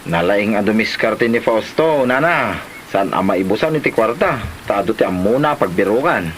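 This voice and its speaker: A man's voice: fairly low pitch, lively intonation, normal speed, natural voice. An actor playing an easygoing, plainspoken village man in an Ilocano comedy drama, with some location noise. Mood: admiring.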